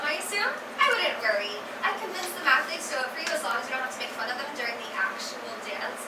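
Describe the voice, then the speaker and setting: Casting: actress for scheming teenage queen bee, high voice, haughty and sarcastic, live musical reading, far from mic in echoey room. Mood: smug, breezy. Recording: echoey, distant, loud room noise.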